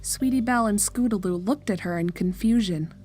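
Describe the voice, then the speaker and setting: A woman's voice: medium pitch, a little fast, darkish tone, faint music behind. A woman narrator reading a scripted horror story in a storytelling style.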